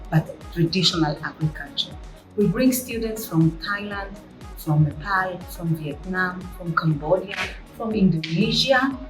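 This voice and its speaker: Woman, measured phrasing, echoey room, soft music behind. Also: medium pitch. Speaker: director and founder of a training center. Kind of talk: guided-tour presentation.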